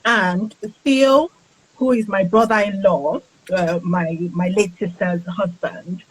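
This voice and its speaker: A woman's voice: medium pitch, fairly even intonation, slow, a little hiss or hum, low-quality recording. A woman author being interviewed on a casual livestream. She is friendly and keeps greeting viewers as she talks. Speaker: author, guest.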